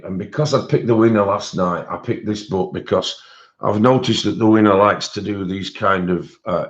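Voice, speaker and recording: A man with a British accent and a croaky voice, hosting a casual livestream and chatting with viewers, rambling with many fillers. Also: on the low side. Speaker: livestream host. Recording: dull lo-fi stream audio.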